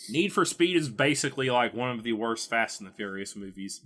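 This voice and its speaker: A man's voice: mid-range voice, lively intonation, a little fast, a bit bright. A man co-hosting a podcast, talking in a casual, conversational way. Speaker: podcast co-host. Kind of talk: casual chat about video game movies.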